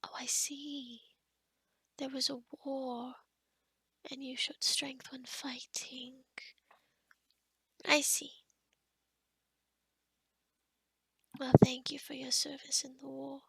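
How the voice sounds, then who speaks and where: A woman playing a kind, sheltered young forest witch in a soft-spoken, half-whispered ASMR roleplay, gentle and hesitant with small stammers. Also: high-pitched, level tone, slow pace, long pauses, no room sound, full character voice. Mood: curious, gentle. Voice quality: breathy, whispery.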